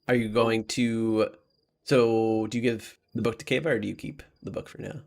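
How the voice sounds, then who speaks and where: A man narrating a tabletop game as game master, casual and halting, often correcting himself as he describes things. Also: fairly low pitch, fairly even intonation, creaky, a little mellow.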